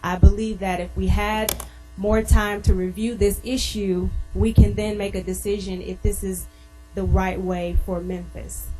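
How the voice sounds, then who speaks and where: A woman representing a city administration, giving formal, measured, bureaucratic explanations at a public meeting. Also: mid-range voice, level tone, mellow, soft background music, poor audio.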